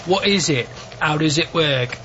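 A man's voice: a little high, unhurried. A man co-hosting a radio show, laughing easily and trading jokes. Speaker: radio co-host. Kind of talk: casual radio chat. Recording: lo-fi radio audio with faint noise.

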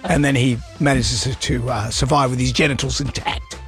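A man's voice: medium pitch, fairly even intonation, normal speed, fairly bright tone, soft background music. A middle-aged man hosting drive-time radio, chatty and jokey, laughing often. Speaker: radio host.

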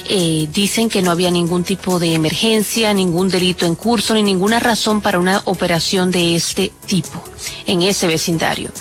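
A woman giving a live, conversational news report, explaining things to the anchor as a reporter. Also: on the low side.

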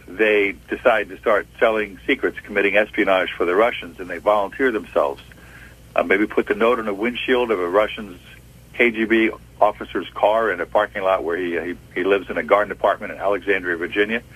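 Older guy, low husky voice, slow storytelling with lots of uhs, dry close sound. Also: fairly bright tone, rough recording. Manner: measured, reminiscing, with frequent "uh" pauses. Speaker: retired FBI agent. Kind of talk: interview storytelling.

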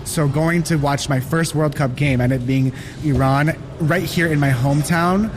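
A man's voice: a little high, moderate pace, soft background music. A man in his thirties with an American accent, answering casually in an interview. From United States. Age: 30s.

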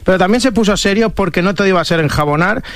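A rather high-voiced male radio co-host with a Spanish accent from Spain. He rattles along quickly in casual, slangy banter with a teasing edge. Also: no room sound, soft background music. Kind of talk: casual satirical chat about football gossip.

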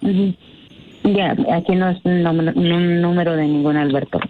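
A woman's voice: lowish voice, fairly even intonation, normal speed, slight background noise. A woman answering a phone call, wary and curt with the caller. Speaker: prank call target. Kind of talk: phone conversation. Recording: tinny phone line.

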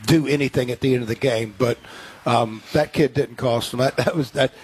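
Husky co-host breaking down football. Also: male voice, mid-range voice, fairly even intonation, normal speed, darkish tone. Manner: analytical, rambling with restarts. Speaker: sports radio co-host. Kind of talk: call-in sports radio chat.

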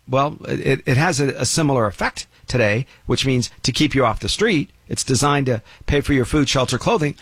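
A man's voice: fairly high pitch, a bit bright, breathy edge, dry recording. Blunt, lecturing man with a dry sound. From United States. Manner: blunt, lecturing, with emphatic rises. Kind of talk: opinionated monologue.

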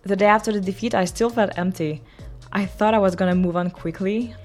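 A woman's voice: mid-range voice, fairly even intonation, fairly quick, darkish tone, faint music behind. A woman from France, a football fan, recalling her team's defeat in a wistful, reflective way.

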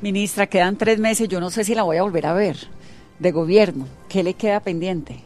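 A woman's voice: mid-range voice, moderate pace, a little mellow, soft background music. A woman with a Colombian accent hosting a radio interview in an effusive, chatty, informal way.

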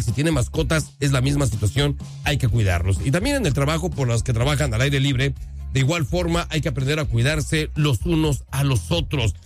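A man hosting a live radio show, speaking upbeat and emphatic with courteous, drawn-out announcer phrasing. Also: mid-range voice. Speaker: radio host. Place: radio studio. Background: music playing under the voice.

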